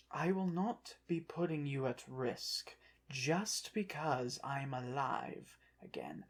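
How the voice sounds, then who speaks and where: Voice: mid-range voice, a little mellow. An actor voicing a proud, touchy ancient Egyptian revived from the dead, speaking formally and guardedly in an ASMR roleplay. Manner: formal, haughty, guarded, halting phrases. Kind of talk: ASMR roleplay, one side of a dialogue.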